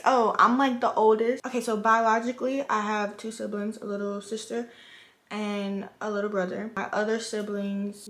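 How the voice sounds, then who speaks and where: Chatty young woman, echoey room. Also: mid-range voice. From Virgin Islands. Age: 20s. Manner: chatty, frank, sisterly, swears freely. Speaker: vlogger. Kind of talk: casual chat while doing makeup. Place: home room at a vanity.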